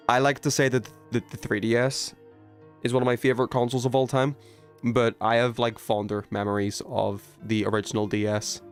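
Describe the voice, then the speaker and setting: Casual young man livestreaming over music. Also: fairly low pitch. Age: 20s. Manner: rambling, informal, self-talking while playing. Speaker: video game streamer. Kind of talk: casual gaming livestream chat and commentary.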